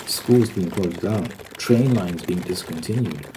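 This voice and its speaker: Casting: man, low-pitched, ASMR rambler, explanatory and opinionated, repeats himself for emphasis, noticeable background noise. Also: measured pace. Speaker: host of a rambling ASMR channel.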